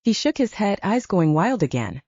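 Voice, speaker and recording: A woman narrating a first-person audiobook in a lively storytelling manner, lightly voicing the dialogue. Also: medium pitch, normal speed, no room sound, muffled recording.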